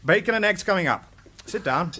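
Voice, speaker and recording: High-pitched, breezy, easygoing man. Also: animated, a little fast, noisy background. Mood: breezy, caring. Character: easygoing, hospitable male friend of the hero. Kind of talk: radio drama acting.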